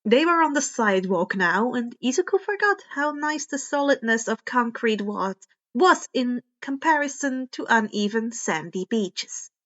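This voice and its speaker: A woman reads fan fiction aloud as expressive narration, now and then stumbling over a word. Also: on the high side, measured pace, hardly any pauses, muffled recording. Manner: occasional stumbles over words. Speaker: podfic reader.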